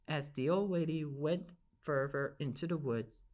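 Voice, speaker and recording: A woman's voice: sits low, a little slow, darkish tone. A woman narrating a children's storybook aloud in an enthusiastic, emphatic way. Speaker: storybook reader.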